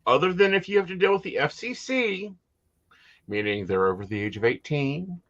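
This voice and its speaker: A woman's voice: deep voice, expressive, normal speed, highs cut off. A woman from the United States hosting a casual psychic talk show.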